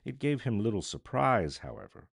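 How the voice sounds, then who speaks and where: A man reading a classic story aloud as a voice artist, with measured, theatrical and expressive delivery. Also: on the low side, vocal fry, slightly rough, no echo, clean studio sound. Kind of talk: dramatic storybook narration of a Victorian classic.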